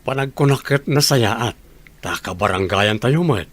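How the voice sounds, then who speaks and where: A man's voice: mid-range voice, lively intonation, a little slow, fairly bright tone. A man with an Ilocano accent playing an earnest middle-aged father in a radio drama. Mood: earnest, tentative.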